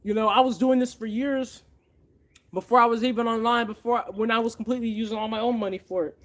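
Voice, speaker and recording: A man's voice: extremely high pitch, steady tone, quick pace, a bit bright. A man hosting a casual livestream, earnestly thanking donors by name and rallying viewers. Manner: fervent, rallying. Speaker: livestream host and machine builder.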